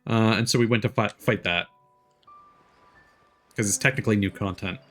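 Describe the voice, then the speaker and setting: A man's voice: on the low side, moderate pace, fairly bright tone, faint music behind. A man chatting casually as he streams a video game. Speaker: livestreamer playing a video game. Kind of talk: casual livestream chat over gameplay.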